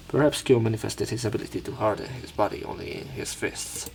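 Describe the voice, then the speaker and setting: A man with a low voice narrates a visual novel as a let's player. He reads the story aloud and breaks off to remark on it, with music playing underneath. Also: normal speed, creaky, darkish tone, rough recording.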